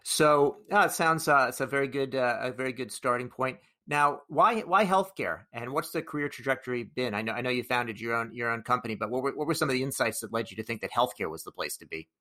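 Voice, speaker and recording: Guy hosting a podcast, bright mid voice, jokey, restarts his questions. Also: medium pitch, lively intonation, fast talker, few pauses. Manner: joking, asks questions with small restarts. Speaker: podcast host. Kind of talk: conversational interview.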